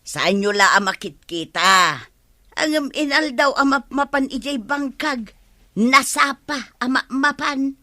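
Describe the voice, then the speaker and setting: A woman's voice: sits high, animated, slow pace, sharp tone, no echo, full character voice. A woman playing an eager busybody neighbour in an Ilocano comedy drama, confiding and gossipy, with an Ilocano accent.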